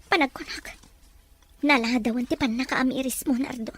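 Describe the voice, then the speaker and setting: A woman's voice: sits high, moderate pace, slightly rough. A woman playing a long-suffering but tender middle-aged mother in an emotional, dramatic radio-drama style. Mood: hurt, firm. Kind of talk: Ilocano radio-drama acting.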